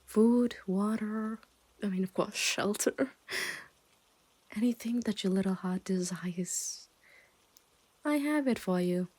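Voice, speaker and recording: Girl doing a yandere kidnapper for asmr, mellow n slow, possessive, teasing, half whispered, softly menacing. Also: mid-range voice. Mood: possessive, coaxing. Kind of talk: ASMR roleplay, addressed to the listener.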